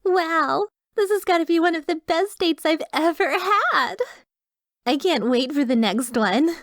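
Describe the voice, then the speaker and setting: Woman putting on a gushing, high-lilting flirty date. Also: measured pace, dry recording, studio quality. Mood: delighted, flirtatious. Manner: sweet, giggly, exaggerated delight. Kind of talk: ASMR roleplay acting.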